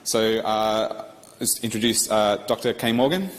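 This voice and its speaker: A man, a university lecturer making class announcements and introducing a guest, halting with many ums and uhs. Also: on the low side, fairly even intonation, a little hiss or hum. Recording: echoey lecture hall, distant mic.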